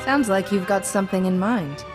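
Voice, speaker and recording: An actress playing a calm, polite, composed female warrior-diplomat in a video game. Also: mid-range voice, normal speed, loud music behind the voice, lightly acted.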